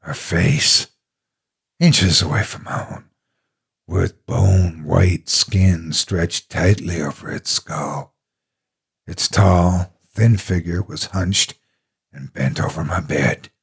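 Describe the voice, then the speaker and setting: A man with a husky, gravelly voice narrating a horror story in the first person, dramatically and with deliberate expression. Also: sits low.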